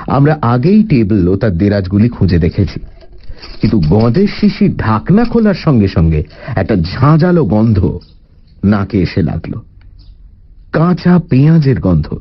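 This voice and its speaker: A man giving an expressive scripted narration of a detective story. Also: fairly low pitch, moderate pace, highs cut off. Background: background music.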